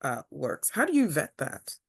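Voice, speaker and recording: A woman from Kenya, interviewing a guest over a video call, halting as she searches for words. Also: sits low, huge pitch swings, vocal fry, husky.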